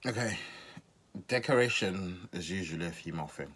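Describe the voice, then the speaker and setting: Man, podcast host from Zimbabwe, low pitch, sharp forceful tone, casual incredulous commentary. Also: lively intonation, moderate pace, breathy edge. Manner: incredulous, questioning, repeats points for emphasis.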